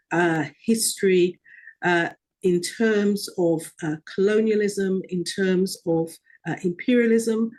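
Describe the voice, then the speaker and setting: A woman from Guyana answering interview questions in a measured way, pausing often on "uh". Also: mid-range voice, darkish tone. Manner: measured, with frequent hesitations. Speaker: interview guest.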